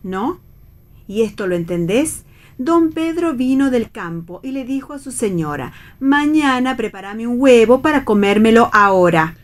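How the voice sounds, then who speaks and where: A woman's voice: a little high, expressive, normal speed, lightly acted. A woman with an Argentine accent playing a wistful, indecisive young wife in a radio theatre reading. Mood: insistent, tender. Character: Flora, indecisive wife, tender, wistful, quietly defiant. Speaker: actor in a radio play reading.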